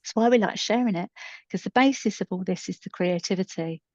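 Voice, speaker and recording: A woman's voice: medium pitch, fairly quick, darkish tone, dry recording, lo-fi sound. A middle-aged woman co-hosting a podcast in casual, unscripted chat, explaining things conversationally. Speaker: podcast co-host and storytelling creator.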